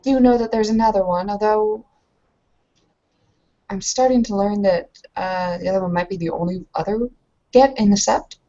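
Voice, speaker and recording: A woman of average pitch speaks in casual tabletop roleplay. Her phrasing is hesitant and broken, and the sound is a little muffled. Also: moderate pace, pauses often, dark tone. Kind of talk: casual in-character roleplay and narration. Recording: dull lo-fi voice recording.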